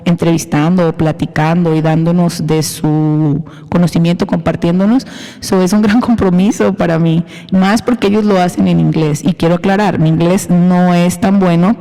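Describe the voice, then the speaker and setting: A woman guest speaking in casual interview style, emotional and affectionate, softly hesitant. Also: on the low side, fairly even intonation, moderate pace, creaky, long reverb tail, low-quality recording.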